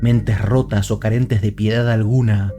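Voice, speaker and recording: A man giving scripted documentary narration in a measured, serious tone, played back with music underneath. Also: fairly low pitch, steady tone. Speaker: narrator of the reacted video.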